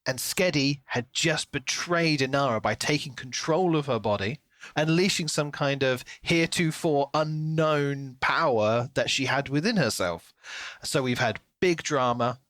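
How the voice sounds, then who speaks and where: Male podcast co-host, medium pitch, informal and chatty, thinking aloud, recorded close and dry. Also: fairly bright tone, no echo. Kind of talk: casual book discussion.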